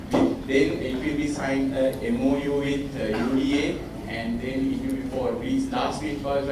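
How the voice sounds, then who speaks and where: A man gives a briefing at a business lunch, pausing often with uh between phrases. His voice sits at a middle pitch. He is recorded from a distance in a very reverberant room, with steady noise behind him. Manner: halting, many uh fillers, thankful. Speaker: industry association representative. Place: hotel conference room. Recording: echoey hall from far off, noisy.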